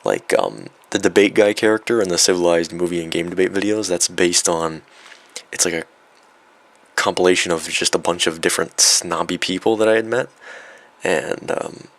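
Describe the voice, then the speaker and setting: A young man with a low, somewhat raspy voice, worn down by a cold. He talks off the cuff about himself, rambling and poking fun at himself. Plenty of ums and uhs along the way. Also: expressive, moderate pace. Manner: rambling, filler-heavy, self-mocking. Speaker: video creator. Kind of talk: unscripted vlog.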